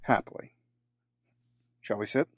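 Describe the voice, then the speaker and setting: An actor playing a cold, scheming middle-aged businessman villain in an audio drama, formal and measured with a sardonic edge. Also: dry recording, close to an everyday voice. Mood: smug, formal.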